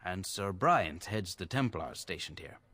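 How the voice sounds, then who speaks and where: An actor playing a dutiful templar guard in a fantasy video game, speaking in a formal, measured way. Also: male voice, low-pitched, lively intonation, pushed and bright, full character voice. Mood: sober, courteous. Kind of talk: video game dialogue acting.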